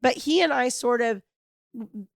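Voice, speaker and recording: A middle-aged woman hosting a podcast alone, talking in an earnest, reflective and hopeful way, her voice raspy from a cold. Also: fairly high pitch, expressive, normal speed, no echo, studio quality. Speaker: podcast host. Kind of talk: heartfelt solo podcast monologue.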